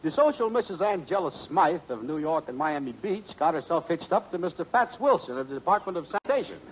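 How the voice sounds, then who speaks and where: An actor playing a pompous, dim crony in 1940s radio comedy, speaking in elaborate, mangled big words. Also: male voice, on the high side, sing-song, a little fast. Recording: faintly noisy vintage radio recording.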